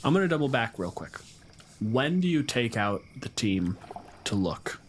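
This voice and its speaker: A man's voice: medium pitch, sing-song, a little slow. A man improvising narration as game master of a tabletop game, casually explaining as he goes. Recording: clear background noise.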